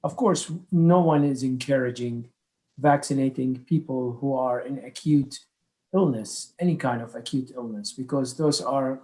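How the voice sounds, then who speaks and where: A man answering an audience question as a medical expert, explaining in a halting way. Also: mid-range voice, warm tone.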